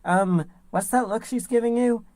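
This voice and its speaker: A woman's voice: on the high side, normal speed, a little mellow, no room sound, lightly acted. A woman playing a supportive animal assistant to a defense lawyer in an audio drama, talking in an encouraging, conversational way. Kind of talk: fan audio drama acting.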